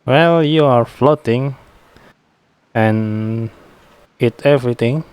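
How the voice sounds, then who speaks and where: A man giving casual live gameplay commentary as a streamer, in short halting reactions with frequent tag questions. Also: mid-range voice, darkish tone, clear, resonant tone. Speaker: game streamer.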